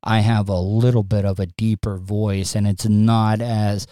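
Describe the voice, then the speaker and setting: A man's voice: on the low side, little pitch movement, unhurried, mellow, no echo, clean studio sound. A man hosting a livestream in casual chat, often stammering and repeating words. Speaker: livestream host.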